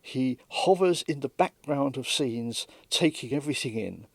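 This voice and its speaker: A man giving measured, explanatory interview commentary as a radio dramatist, close to the microphone. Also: mid-range voice, animated, gravelly, dry recording.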